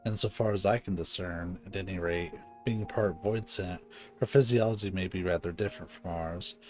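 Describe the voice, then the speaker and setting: A man's voice: deep voice, steady tone, normal speed. A man streaming a video game with informal, sweary live commentary, sometimes reading the dialogue aloud. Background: game music.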